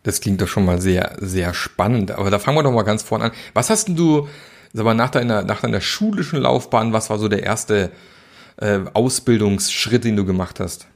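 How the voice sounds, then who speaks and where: Gravelly-voiced male podcast host. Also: lowish voice, moderate pace. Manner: chatty, many restarts and fillers. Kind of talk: casual interview.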